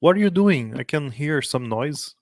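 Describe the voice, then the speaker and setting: A Brazilian man with a Brazilian Portuguese accent hosting a casual live stream, chatty and laughing often, a little halting when he speaks English. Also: on the high side, expressive, dry recording, studio quality. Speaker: host practicing English with strangers.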